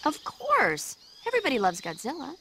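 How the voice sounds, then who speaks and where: An actress playing a friendly, earnest radio support operator who chats enthusiastically in a video game. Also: female voice, sits high, wildly swooping pitch, fairly quick, gravelly, noisy background, heavily acted. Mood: friendly, enthusiastic. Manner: chatty, earnest.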